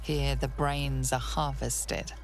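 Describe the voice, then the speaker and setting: A woman playing the solemn narrator of a dark fantasy game, reading scripted lines in a hushed, gravelly voice. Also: rumbling low, little pitch movement, slow, soft background music, heavily acted. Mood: grave, ominous.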